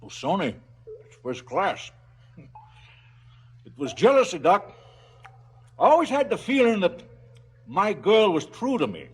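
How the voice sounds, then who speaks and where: An actor playing a cynical, brooding crime boss in a stylised radio drama, sardonic and businesslike about killing. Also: male voice, high-pitched, sing-song, slow, pauses often, slight background noise, heavily acted. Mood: cold, wistful menace.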